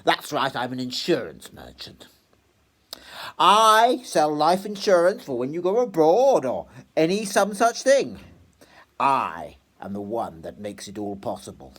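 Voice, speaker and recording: A man playing a pompous, self-satisfied upper-class gentleman in a told story. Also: a little high, very animated, measured pace, pitched above the performer's own voice, full character voice.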